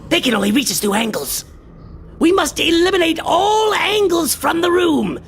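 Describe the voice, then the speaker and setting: A man's voice: extremely high pitch, animated, moderate pace, vocal fry, a bit bright, a little hiss or hum, full character voice. A male actor playing a frantic, obsessed occultist in a horror radio play, declaiming in a theatrical, grandiose style. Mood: frantic urgency.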